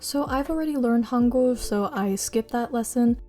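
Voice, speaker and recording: A woman's voice: a little high, moderate pace. A young woman whose first language is Mandarin, chatting casually in a vlog voice-over about how she studies, with plenty of likes and ums. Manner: chatty, self-deprecating, lots of likes and ums. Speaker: vlogger teaching her own study method. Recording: soft music behind.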